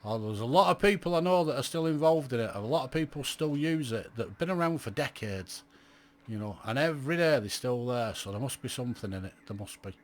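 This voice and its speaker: A man's voice: medium pitch, lively intonation, fast. Man, middle-aged, blunt, chatty, rambling vlog talk. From England. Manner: blunt, chatty, rambling with many rhetorical questions. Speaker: amateur radio YouTuber. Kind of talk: casual vlog monologue to viewers.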